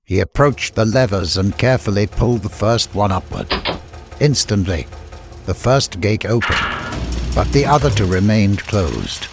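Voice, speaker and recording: A man's voice: on the low side, lively intonation, unhurried, background noise. A man reading scripted fiction as a narrator, in dramatic audiobook style.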